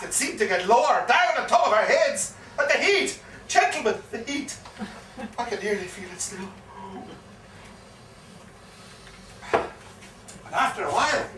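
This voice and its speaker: A man's voice: high-pitched, bright, forceful tone, full character voice. A man on stage playing a garrulous old soldier with an Irish accent, telling a war yarn with theatrical repetition. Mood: anguished, dramatic. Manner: theatrical, dramatic storytelling with repeated phrases. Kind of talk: live stage performance of a comic one-act play. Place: live performance in a pub. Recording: echoey, distant live pub recording, faint noise.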